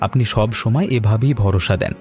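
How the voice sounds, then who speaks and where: A man's voice: lowish voice, normal speed, darkish tone, faint music behind, natural voice. A man as a polite young village lad. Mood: polite, relieved. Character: polite, grateful young village son seeking reassurance. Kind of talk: cartoon voice acting.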